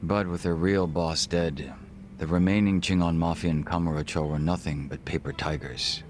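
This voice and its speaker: A man voicing a refined, reserved gangster in a video game cutscene, speaking in formal, measured, polite phrases. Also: low-pitched, fairly even intonation, a little hiss or hum, lightly acted. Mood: grave, reflective. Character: refined, reserved Korean gangster, a former body double.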